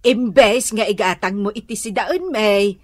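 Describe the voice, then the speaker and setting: An actress playing a gentle, soothing elderly mother with an Ilocano accent in a radio drama. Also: medium pitch, unhurried, no echo, close to an everyday voice. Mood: grateful.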